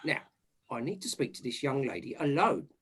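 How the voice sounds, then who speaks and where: A man's voice: medium pitch, sing-song, brisk, a bit bright, husky. A man playing a bumbling, self-important police inspector, rambling and condescending, in a comic murder mystery. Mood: condescending, brusque.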